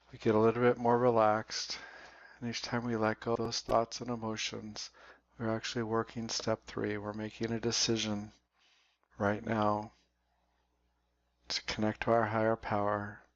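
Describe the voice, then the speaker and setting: A man leading a guided meditation in a slow, deliberate way, leaving long pauses. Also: fairly low pitch. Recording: dull, roomy recording.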